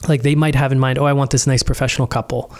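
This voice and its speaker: Male podcast host, quick chatty delivery, lots of "like", mid-range voice. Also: mid-range voice, level tone. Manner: rapid, chatty, filler-heavy with "like". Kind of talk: casual podcast interview.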